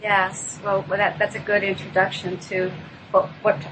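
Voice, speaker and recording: A woman guest on a talk show, speaking off the cuff in a halting way as she searches for her words. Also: medium pitch, completely flat delivery, background noise. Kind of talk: unscripted talk introducing a play.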